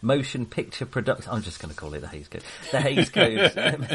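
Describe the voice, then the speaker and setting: A man chatting casually about films as a podcast co-host, in a halting, rambling manner. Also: medium pitch, huge pitch swings, vocal fry, a bit bright. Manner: halting, rambling, often chuckling. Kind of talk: casual film discussion.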